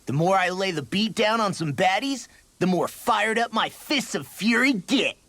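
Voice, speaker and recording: Game role: hot-headed young fighter, American accent, very lively cocky delivery. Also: male voice, high voice, normal speed, no room sound. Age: young adult. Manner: cocky, slangy. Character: brash, hot-headed young male brawler, son following father's footsteps. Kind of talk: video game voice acting. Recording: studio voice over game music.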